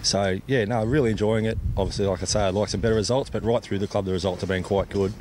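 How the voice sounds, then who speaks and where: A deep-voiced Australian man gives a sports interview as a club captain. He speaks quickly and colloquially, with outdoor noise behind him. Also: fairly even intonation, darkish tone. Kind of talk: post-play sports interview. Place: outdoors at a cricket ground. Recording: clear background noise, outdoor ambience.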